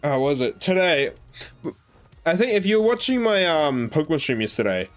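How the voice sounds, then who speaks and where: A man's voice: a little high, big pitch swings, normal speed, faint music behind. A man with an Australian accent chatting casually on a live game stream, rambling with lots of fillers as he answers his chat. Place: home in New Zealand.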